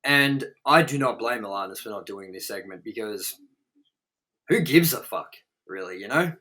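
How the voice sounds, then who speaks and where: Blunt, bright-voiced man, sweary and emphatic. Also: on the low side, lively intonation, normal speed. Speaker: co-host of a film review show. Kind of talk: casual opinionated film review chat.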